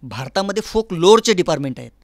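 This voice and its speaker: A man's voice: high-pitched, animated, fast talker, dry recording, clean studio sound. A man with a Maharashtrian accent explaining things earnestly as a guest in an interview. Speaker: interview guest, folk artist and academic department head. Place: recording studio.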